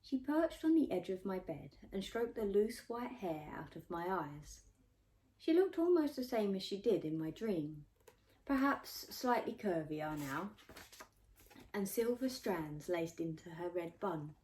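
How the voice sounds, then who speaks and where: A woman author reading her own book aloud as expressive audiobook narration. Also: lowish voice, a little slow.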